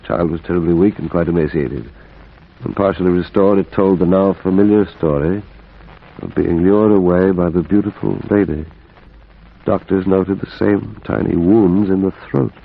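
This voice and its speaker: An actor playing an earnest, troubled, sceptical gentleman doctor, formal yet emotional, in 1940s radio horror style. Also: sits low, steady tone, normal speed, vocal fry. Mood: distressed. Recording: muffled, faintly hissy old radio broadcast.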